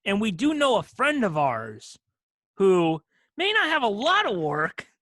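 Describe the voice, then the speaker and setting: A woman's voice: mid-range voice, wildly swooping pitch, a little slow, a bit bright, no echo, studio quality. A woman co-hosting a comedy podcast, chatting casually and improvising playfully. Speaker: podcast co-host.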